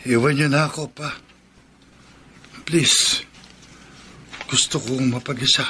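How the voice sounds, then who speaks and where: A man playing a guilt-ridden young adult son in a radio drama, speaking slowly and heavily. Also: medium pitch, fairly even intonation, bright, forceful tone, slight background noise. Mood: withdrawn. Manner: slow, heavy, remorseful.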